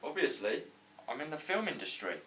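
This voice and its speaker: A man's voice: on the high side, big pitch swings, brisk, sharp tone. A man reading a comedy script casually, in a blunt, defensive way. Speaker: actor at a table read. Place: indoor room, group table read. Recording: distant mic, faint room noise.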